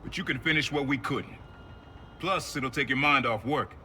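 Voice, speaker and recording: A man's voice: mid-range voice, moderate pace, bright, forceful tone, a little hiss or hum. A middle-aged man acting a streetwise, protective uncle and mentor in a video game, direct and casual. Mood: reflective, encouraging.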